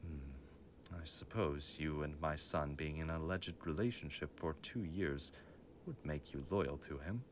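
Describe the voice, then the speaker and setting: Man acting as a deep-voiced, stern mafia boss. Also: a little slow, slight background noise. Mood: stern, suspicious. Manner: formal, clipped authority. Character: stern, commanding mafia boss father, formal and measured. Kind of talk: dramatic audio roleplay.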